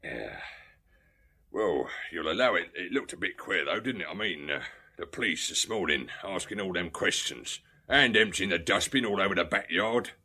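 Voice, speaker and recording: A man's voice: sits low, lively intonation, normal speed, sharp tone, breathy edge, full character voice. An actor playing a henpecked working-class English husband, hesitant, matey and deferential to his betters, in a radio drama. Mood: matey, apologetic.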